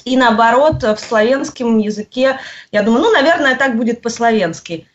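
A woman answering interview questions, pausing often and searching for her words. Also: fairly high pitch, lively intonation. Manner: hesitant, searching for words with frequent pauses. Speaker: guest. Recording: muffled lo-fi audio.

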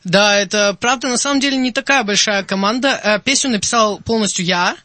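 A man's voice: high voice, a little fast, sharp tone, no room sound. A young singer-songwriter man from Azerbaijan, a guest on a radio show, talking in an upbeat, eager way in a studio. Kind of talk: casual studio interview. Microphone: studio microphone.

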